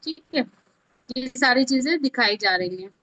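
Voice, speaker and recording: A woman's voice: high-pitched, expressive, normal speed, pushed and bright. A woman instructor giving an informal step-by-step tutorial, often checking that listeners are following along. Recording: dull, lo-fi, dry close audio.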